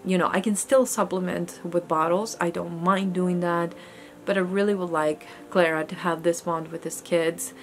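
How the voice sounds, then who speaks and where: A young woman talking casually to the camera in an indoor room, chatty and earnest, often restarting her sentences. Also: fairly low pitch. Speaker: homesteading vlogger. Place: indoor room with goat pen, daytime. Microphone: camera close in front.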